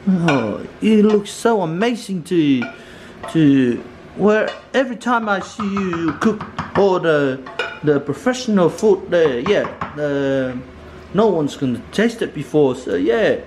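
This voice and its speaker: High-voiced Samoan man vlogging. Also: expressive, slow pace. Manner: playful, loose broken English. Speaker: vlog host. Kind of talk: casual vlog chat. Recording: echoey room with clear background noise.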